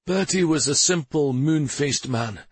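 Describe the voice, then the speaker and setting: A man's voice: a little high, lively intonation, a little slow, slightly rough, dry recording, pitched above the performer's own voice. A man voicing a humble, troubled village mayor in a fantasy audiobook, pleading and deferential. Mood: troubled, subdued. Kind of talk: fantasy audiobook character dialogue.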